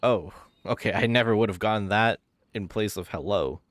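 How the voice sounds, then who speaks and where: A woman's voice: very deep voice, moderate pace, no echo. A woman giving casual, wry gameplay commentary, reacting in a laid-back way as she plays. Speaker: gaming video creator playing and reacting.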